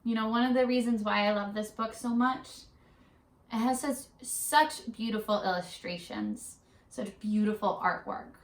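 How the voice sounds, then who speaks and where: A woman teacher leading a read-aloud lesson for young children, in an animated, questioning, sing-song way. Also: fairly high pitch, moderate pace. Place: classroom-style room. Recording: echoey room, distant mic.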